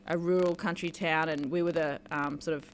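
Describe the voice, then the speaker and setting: A woman's voice: low voice, steady tone, fairly quick, slight background noise. An Australian woman, a fighter and guest, talking through her story in a chatty, rambling way, with lots of fillers and easy laughter. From Australia (New South Wales, raised in Queensland). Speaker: guest, mixed martial arts fighter. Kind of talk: casual interview chat.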